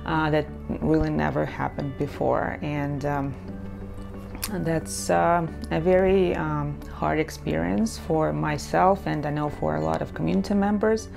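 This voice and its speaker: Middle-aged woman, low gravelly voice, halting interview answers, music under it. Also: steady tone. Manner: hesitant, many pauses and fillers. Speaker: interviewee, immigrant. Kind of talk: personal testimony to camera. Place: indoor studio against a light wall. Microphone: lapel mic.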